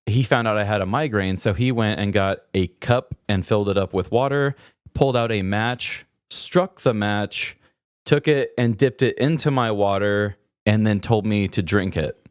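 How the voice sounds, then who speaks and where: A man's voice: lowish voice, moderate pace, smooth, no echo, studio quality. A man co-hosting a podcast in a casual, comedic way, telling stories and reading articles in a rambling, conversational manner. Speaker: podcast co-host.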